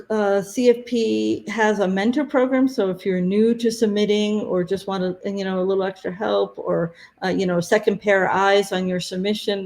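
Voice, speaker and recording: A woman presenting conference deadlines and tracks in a webinar, talking haltingly with many fillers, her voice going hoarse. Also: mid-range voice, a little mellow. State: losing her voice.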